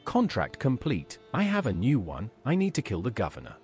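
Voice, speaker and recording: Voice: medium pitch, huge pitch swings, normal speed, a little mellow, faint music behind. A performer voicing a terse, matter-of-fact assassin player character in a video game. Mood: terse, businesslike. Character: terse assassin taking contracts.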